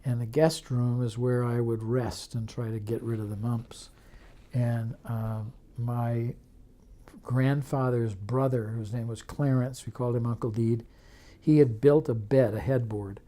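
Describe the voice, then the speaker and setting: Mellow older man reminiscing. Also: mid-range voice, fairly even intonation. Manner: reminiscing, unhurried storytelling. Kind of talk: anecdotal documentary interview.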